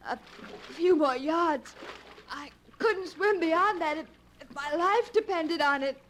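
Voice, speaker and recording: An actress playing a frightened young woman in a 1940s radio drama, breathless and halting, on an old radio recording. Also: extremely high pitch, fairly bright tone, slight background noise, full character voice. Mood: exhausted, pleading. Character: young woman drowning in panic.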